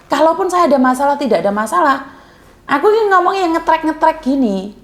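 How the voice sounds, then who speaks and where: A woman's voice: sits high, animated, fairly quick. Blunt outspoken woman on a call. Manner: blunt, outspoken, self-described coarse way of speaking. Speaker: host of a call-in advice channel. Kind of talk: live call-in chat. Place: Hong Kong.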